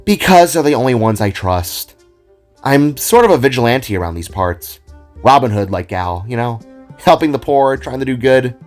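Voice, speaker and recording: Man voicing a bold, lively young vigilante gal. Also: medium pitch, moderate pace, lightly acted. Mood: casual, confiding. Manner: breezy, boastful, casual. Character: bold excitable young female guitar vigilante with flame powers. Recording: music behind the voice.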